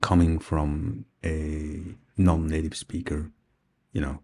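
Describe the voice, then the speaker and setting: A man's voice: extremely low pitch, flat delivery, slow pace, mellow. A man whose first language is Dutch, hosting his own audio journal and talking casually, thinking aloud as he goes. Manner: thinking aloud with fillers and restarts. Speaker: podcast host.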